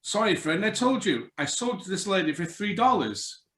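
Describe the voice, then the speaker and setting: A man plays a brisk auctioneer in a radio play. His voice is high and bright, swinging widely as he calls bids and firmly refuses argument. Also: big pitch swings. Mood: dismissive. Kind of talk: radio drama script reading. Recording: live video-call recording.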